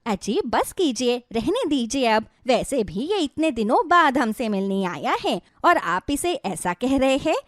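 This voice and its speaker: A woman's voice: fairly high pitch, sing-song, unhurried, few pauses, no room sound, studio quality. A woman voicing an elderly wife and mother, jealous and complaining, in a querulous, dramatic way for a cartoon story. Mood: pleading, annoyed. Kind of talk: cartoon moral story voice acting.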